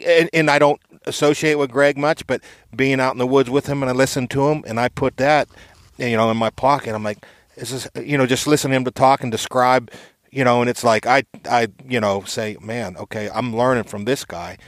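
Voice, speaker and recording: A man's voice: mid-range voice, steady tone, a little fast, no room sound, clean studio sound. Folksy guy in casual chat. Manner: folksy, repeats "you know". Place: outdoors by a campfire.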